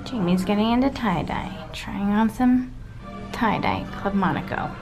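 A woman's voice: medium pitch, lively intonation, a little slow, over loud music. A young woman chatting casually to a handheld camera in a lifestyle vlog, upbeat and full of fillers, filming around her home and out on the street. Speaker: lifestyle vlogger. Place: home and city street, indoors and out. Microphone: handheld camera at arm's length.

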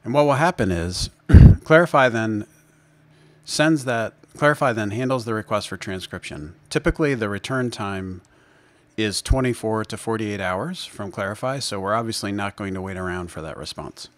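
A man presenting a software demo in an unscripted screencast, explaining step by step in a measured way. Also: fairly low pitch, no room sound. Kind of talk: screencast tutorial narration, unscripted.

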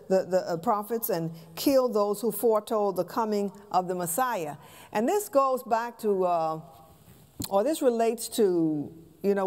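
An American woman in her sixties teaching scripture from a pulpit in a halting, conversational way with rhetorical questions. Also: a little high, darkish tone. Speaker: Bible class teacher, preacher. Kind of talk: teaching sermon, explaining scripture. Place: church sanctuary stage, at a pulpit.

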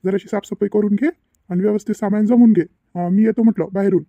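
A man's voice: high voice, fairly even intonation, fast talker, dark tone, dry recording, lo-fi sound. A man voicing a protective, generous father in a cartoon family drama, reassuring and repetitive, with a Varhadi Marathi accent.

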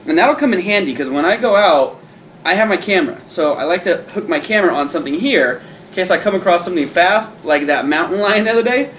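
Man, 30s, enthusiastic chatty reviewer, a bit high-pitched, echoey small room. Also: expressive, quick pace, a bit bright, slight background noise. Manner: enthusiastic, chatty, addresses viewers as guys. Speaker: product reviewer. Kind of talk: casual unboxing review. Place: small indoor room with a decorated Halloween backdrop.

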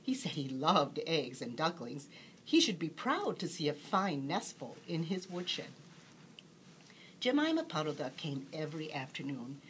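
A woman reading a children's bedtime story aloud as an expressive storyteller. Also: low-pitched, a little slow, a little hiss or hum.

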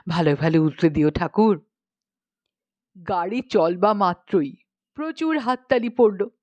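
A woman's voice: fairly high pitch, no echo. A woman audiobook narrator reading a humorous story expressively.

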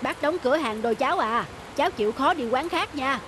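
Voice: high-pitched, animated, brisk, poor audio. An actor voicing a grudging, dismissive middle-aged male bicycle repairman in a cartoon. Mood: reluctant. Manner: grumbling, dismissive. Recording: music and rain under the voice.

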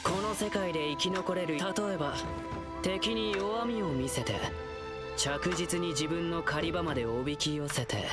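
A woman's voice: low-pitched, moderate pace, loud music behind the voice, lightly acted. A woman playing a bold, protective young boy hero in a video game, earnest and boyish. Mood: fierce, threatening. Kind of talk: Japanese video game voice acting.

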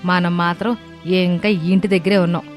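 A woman playing a calm, persuasive older village mother-in-law in an animated moral story. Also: fairly low pitch, normal speed, soft background music, natural voice. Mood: coaxing.

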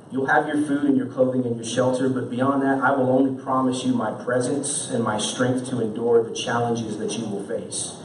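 A man's voice: medium pitch, slight background noise. A young man preaching a sermon at a stand mic on a church stage, earnest and full of rhetorical questions. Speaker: pastor. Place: church stage with band gear, indoors. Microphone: stand mic, far camera. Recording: roomy church sound from far off.

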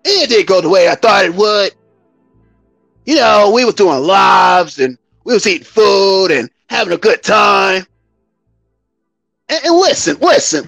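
An American man around fifty hosting a live stream as a comedic mock church testimony, with a theatrical, drawn-out, preacher-like delivery. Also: very high-pitched, gravelly, a bit bright, no room sound. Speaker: YouTube live stream host.

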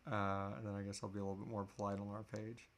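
A man's voice: lowish voice, level tone, fast. A man in his thirties is hosting a live question-and-answer stream. He talks in a casual, loose and easygoing way, free with a swear word, recorded at desk distance in a small room at home. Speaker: podcast host.

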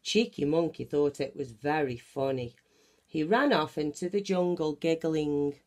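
A woman reading a children's picture book aloud in an animated, deliberate manner. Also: low voice. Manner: animated, deliberate, acts out sound effects. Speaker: children's story reader. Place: small bedroom at home, indoors.

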